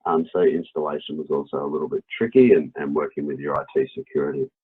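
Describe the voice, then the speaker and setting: A man with an Australian accent and a low, husky voice explains a product in a webinar. He talks conversationally, as a presenter walking people through something. The audio is slightly dull and lo-fi. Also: lively intonation, fast.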